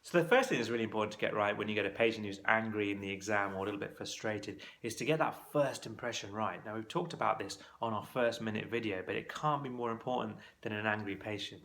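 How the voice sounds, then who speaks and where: A man's voice: on the low side, fast talker, few pauses, a bit bright, a little hiss or hum. A man teaching as a clinical exam course tutor, giving practical coaching tips in a conversational instructional talk to camera.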